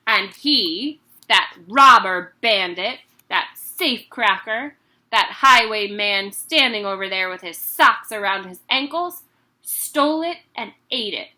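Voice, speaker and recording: A woman voicing a huge, bullying headmistress who barks and shouts insults at a pupil. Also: a little high, normal speed, few pauses. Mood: raging.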